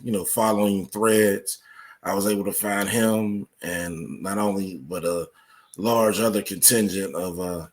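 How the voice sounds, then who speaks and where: A man speaking as an interview guest, explaining things conversationally in a measured way. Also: sits low. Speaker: podcast guest, researcher.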